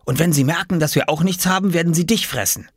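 A man voicing an excitable, bossy, impatient little boy in a children's storybook, exclaiming and scolding urgently. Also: a little high, fast, breathy edge, no echo, clean studio sound, voice pushed up. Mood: annoyed, bossy.

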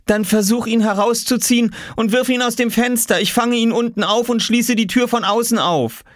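A man's voice: extremely high pitch, moderate pace, dry recording, clean studio sound, pitched above the performer's own voice. A man voicing an exasperated mother in a children's storybook, calling out insistently. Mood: instructing.

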